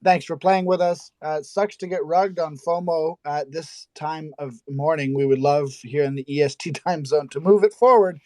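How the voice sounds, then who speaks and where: A man hosting a casual live audio chat, chatty and quick to laugh. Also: a little high, a little mellow, no echo. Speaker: host.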